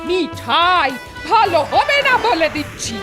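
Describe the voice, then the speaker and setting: A woman's voice: very animated, unhurried, exaggerated voice. A woman voicing a bossy, quarrelsome old granny, the elder sister, in a cartoon. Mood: scolding. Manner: scolding, shrill, quarrelsome. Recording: cartoon voice over loud music.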